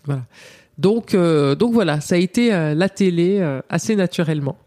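A middle-aged woman, a TV journalist, speaking reflectively in an interview. She often pauses with fillers and restarts her sentences. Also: fairly low pitch, warm tone. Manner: hesitant, many fillers and restarts.

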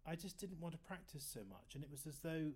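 A man in his fifties with a fairly high voice, chatting in an interview and telling rambling stories with many self-corrections. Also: quick pace, darkish tone, breathy edge. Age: 50s. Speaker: guest oboist. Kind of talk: casual interview chat.

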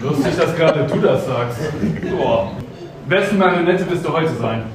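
Casting: male actor as brash, sardonic stage hero, high voice, declamatory, heard far off in a very echoey space. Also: lively intonation, normal speed, background noise, lightly acted. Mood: mocking. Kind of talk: live theatrical stage acting.

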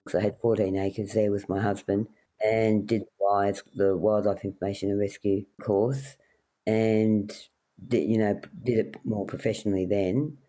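A woman's voice: a bass voice, dark tone, rough recording. A middle-aged woman from rural New South Wales with an Australian accent, telling casual anecdotes as an interview guest. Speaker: podcast guest, wildlife carer.

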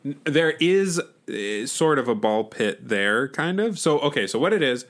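Young male podcast co-host, chatty and enthusiastic, ordinary middle pitch. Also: big pitch swings, measured pace, fairly bright tone. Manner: enthusiastic, chatty, often laughing along. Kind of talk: casual chat.

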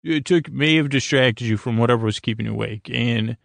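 A man hosting a sleep podcast, rambling gently and hesitantly through silly, goofy asides in a gravelly, creaky voice. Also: medium pitch, dry recording. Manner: meandering, hesitant, halting asides. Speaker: podcast host. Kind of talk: rambling bedtime monologue to help listeners sleep.

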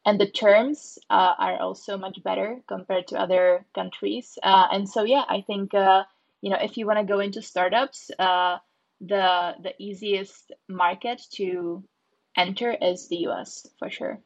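A woman answers interview questions, pausing on frequent fillers as she thinks. Her delivery stays fairly level, with little rise and fall. The audio is dull and muffled, like a lo-fi recording. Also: medium pitch, steady tone, vocal fry. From Czech Republic. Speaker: startup founder guest.

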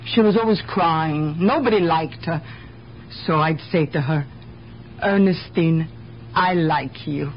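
A woman's voice: deep voice, slow. Guarded immigrant woman, muffled radio drama. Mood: confiding. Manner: hesitant, shrugging, broken English. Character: guarded, world-weary immigrant neighborhood woman. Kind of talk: 1970s radio drama acting. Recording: dull, noisy old radio recording.

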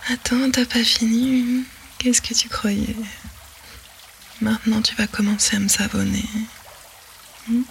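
A woman whispering a sensual roleplay to the listener in a breathy, commanding way. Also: a little high, fairly even intonation, measured pace, long pauses, slight background noise. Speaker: narrator of an intimate audio roleplay.

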